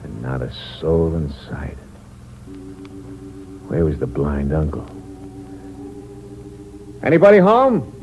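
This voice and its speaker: A man's voice: rumbling low, wildly swooping pitch, slow, pauses often, lo-fi sound, over music, heavily acted. An actor playing a hard-boiled drifter who tells his own story in terse, wry narration. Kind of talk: 1950s radio drama acting. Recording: noisy old radio recording.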